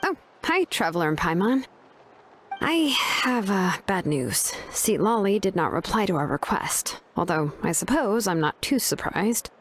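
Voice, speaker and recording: A woman's voice: medium pitch, big pitch swings, unhurried, a little hiss or hum. A woman voicing a considerate, easygoing young woman in a video game, laid-back and diplomatic as she explains things. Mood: apologetic. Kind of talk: English video game voice acting. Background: music.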